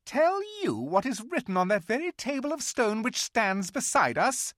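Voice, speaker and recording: An imperious witch queen from a storybook reading, voiced by a man, cold and shrill as she lays claim to what she thinks is hers. The voice sits high above his own and swings widely in pitch. It is recorded close and dry, with no room sound. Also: big pitch swings, normal speed, fairly bright tone, no echo. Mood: menacing. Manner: shrill and commanding, rising when angry.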